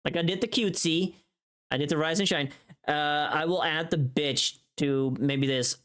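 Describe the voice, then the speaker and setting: A woman's voice: sits low, moderate pace, fairly bright tone. A woman livestreamer chatting casually and informally while she works, thinking aloud.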